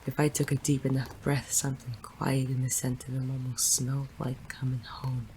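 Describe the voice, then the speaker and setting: An actor playing a gruff, wry, smitten male private detective in a noir audio drama, with teasing, plain-spoken tough-guy phrasing. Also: medium pitch, little pitch movement, normal speed, noisy background, close to an everyday voice. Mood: tender, brooding. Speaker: narrator and lead character. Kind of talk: noir audio drama, first-person narration and banter.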